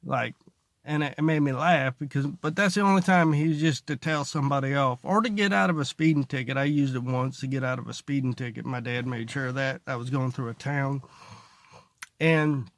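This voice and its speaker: Creaky-voiced man rambling with dry sarcasm. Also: mid-range voice, brisk, no room sound. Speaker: stand-up comedian, vlogger. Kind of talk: casual comedic monologue to camera. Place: inside a parked car.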